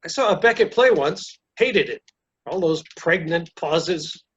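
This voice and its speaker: Casting: performer for curt, deadpan grouch in stage-reading comedy, medium pitch, muffled lo-fi recording. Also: a little slow. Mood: grumpy, dismissive. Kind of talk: readers theater dialogue. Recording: dull, lo-fi sound.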